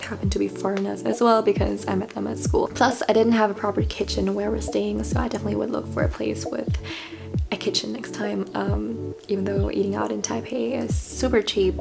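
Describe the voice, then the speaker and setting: A woman's voice: medium pitch, level tone, a little fast, gravelly, over music. A young woman talking candidly in a casual vlog, reflective and self-deprecating, often laughing at herself. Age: young adult. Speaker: vlogger.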